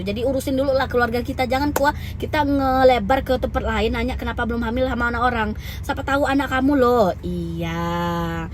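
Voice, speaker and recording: Young woman, raised pitch, chatty, blunt, emphatic, candid storytelling. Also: a little hiss or hum. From Lampung, Indonesia. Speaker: vlogger.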